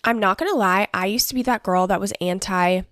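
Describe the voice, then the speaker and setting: A woman's voice: mid-range voice, a little fast, a bit bright, no room sound, studio quality. A young woman hosting a casual solo podcast, chatty and upbeat, talking with a stuffy nose from allergies. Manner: bubbly, chatty, fillers like "like" and "honestly". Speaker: podcast host.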